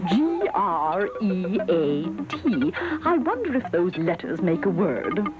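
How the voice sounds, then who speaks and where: A woman's voice: lowish voice, very animated, slow pace, over music. A woman voicing an eager, wide-eyed, girlish puppet letter character in children's educational television, exclamatory and pleading. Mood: eager, alarmed.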